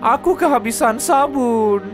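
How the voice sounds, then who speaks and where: A vain and fussy male cartoon locomotive. The voice is high, animated and dramatic, over clearly audible music. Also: unhurried. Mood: dismayed. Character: vain, fussy male red steam engine. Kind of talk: children's cartoon dub. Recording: background music under the voice.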